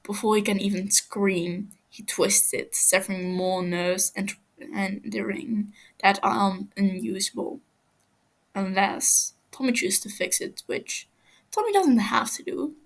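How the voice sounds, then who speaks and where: Slow, husky woman narrating a story. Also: mid-range voice. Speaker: fan fiction reader.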